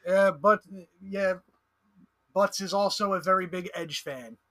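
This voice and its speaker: A man of thirty-one hosts a podcast with a voice that sits a little high. He is laid-back and chimes in with easy, joking asides. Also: a little slow, sharp tone. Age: 30s. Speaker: podcast host. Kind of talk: casual podcast chat.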